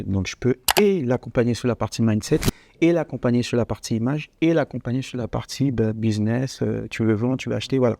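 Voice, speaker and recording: A man with a mellow voice of medium pitch, recorded very dry. He explains himself in casual conversation, repeating phrases to make his point. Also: no room sound. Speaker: podcast guest, coach.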